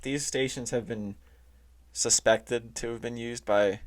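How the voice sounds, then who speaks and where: A man explaining things casually as a podcast host, halting and informal, often saying "like". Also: mid-range voice, steady tone. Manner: informal, halting, heavy use of "like". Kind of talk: casual explainer talk reading from notes. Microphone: close, touchy microphone.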